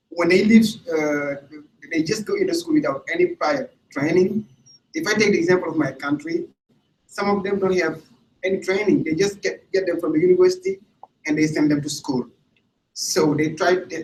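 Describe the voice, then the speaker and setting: A man from Senegal, an English teacher, presenting a training module to camera in a deliberate way, often restarting and repeating words. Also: on the high side, a little mellow. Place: room without audience. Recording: echoey room, far from the mic, faint ambience.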